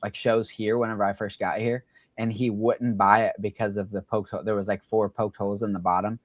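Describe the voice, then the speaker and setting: A man's voice: on the low side, little pitch movement, a little fast, no room sound. A young man hosting a livestream, chatting casually and rambling with lots of fillers. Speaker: livestream host.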